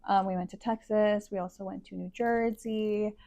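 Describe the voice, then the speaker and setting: A woman's voice: mid-range voice, fairly even intonation, moderate pace, gravelly, warm tone, lo-fi sound. Chatty woman in her twenties, co-hosting. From El Salvador. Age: 20s. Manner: chatty, agreeable, lots of yeah and um. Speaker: podcast co-host. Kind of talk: casual chat.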